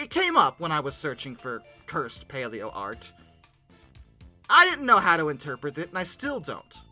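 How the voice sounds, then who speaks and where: Very bright, animated, exasperated commentator. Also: mid-range voice. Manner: exasperated, dramatic emphasis; informal slangy talk. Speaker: host of a paleoart commentary show. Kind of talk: comedic commentary on pictures.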